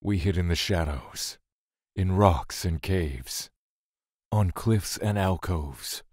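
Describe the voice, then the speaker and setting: A man's voice: low voice, darkish tone, no room sound, clean studio sound. A man playing a weary, brooding god who solemnly recounts his love and exile in an audio drama, with music underneath. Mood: somber, reflective. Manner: slow, solemn, brooding storytelling.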